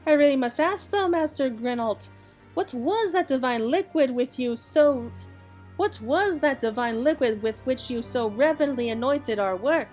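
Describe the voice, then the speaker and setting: A woman plays an earnest young apprentice artisan in a fantasy game. The lines are polite and formally phrased, in a fairly high voice over soft music. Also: animated, normal speed. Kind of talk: video game dialogue read aloud.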